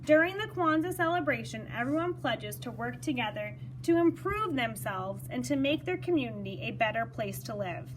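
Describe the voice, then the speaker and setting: A woman reading a children's story aloud in an expressive, teacherly manner, pausing to explain words. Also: a little high, a little hiss or hum, rough recording.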